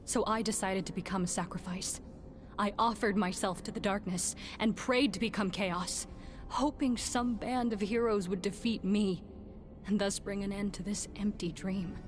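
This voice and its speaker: Young female warrior, played by an actress, game cutscene, proud and somber, medium pitch, light music underneath. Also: steady tone, moderate pace, lightly acted. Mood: somber, resigned. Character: young woman warrior, resigned, proud and challenging. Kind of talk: video game cutscene acting. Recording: music faintly behind. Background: faint music.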